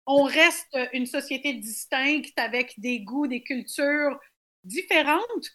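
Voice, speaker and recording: Lively high-voiced Québécoise woman. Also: slow. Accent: Québécois French. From Quebec. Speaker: guest performer. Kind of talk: radio interview.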